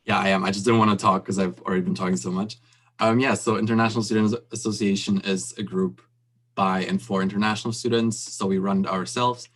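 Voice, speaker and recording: A man's voice: lowish voice, flat delivery, fast, fairly bright tone. A young male student panelist who explains things in a chatty, informal way, full of "um" and "like". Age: young adult. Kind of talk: panel Q&A answer.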